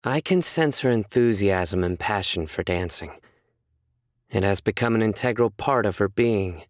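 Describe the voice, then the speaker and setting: An actor playing a stern, composed young male judge in a video game, speaking in a measured, serious, deadpan way. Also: on the low side, no room sound, studio quality, natural voice. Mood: earnest. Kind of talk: scripted game character voice lines.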